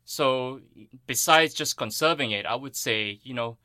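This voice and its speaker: A man's voice: medium pitch, measured pace, pushed and bright, no echo. A man from Singapore giving earnest, thoughtful explanations as an interviewed plant ecologist.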